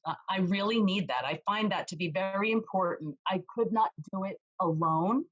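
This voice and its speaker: Woman, loud voice, animated storyteller, casual interview, dull sound. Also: on the low side. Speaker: real estate agent guest.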